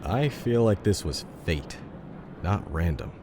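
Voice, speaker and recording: A man's voice: low-pitched, expressive, slow pace, background noise. A man playing a huge, level-headed teammate with a dry, blunt way of talking. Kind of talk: audio fiction acting. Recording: music bed behind the voice.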